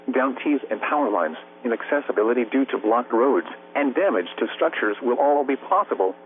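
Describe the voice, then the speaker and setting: A man's synthetic computer voice reading a scripted weather bulletin as a radio announcer, formal and measured. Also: medium pitch. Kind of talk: scripted weather bulletin read by text-to-speech. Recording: noisy narrowband weather radio broadcast, humming. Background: radio hum and static.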